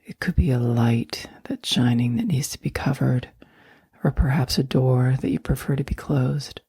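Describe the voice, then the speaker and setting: A woman guiding a sleep meditation in a soft, breathy near-whisper, speaking slowly and gently with long, soothing pauses. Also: rumbling low, dry recording. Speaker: meditation guide.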